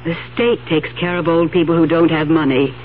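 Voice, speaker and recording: An actress playing a fed-up, demanding wife with a sharp temper in a radio drama, nagging and emphatic. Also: female voice, deep voice, fairly even intonation, fairly quick, close to an everyday voice. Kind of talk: 1970s radio drama acting. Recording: tinny radio broadcast, faint hum.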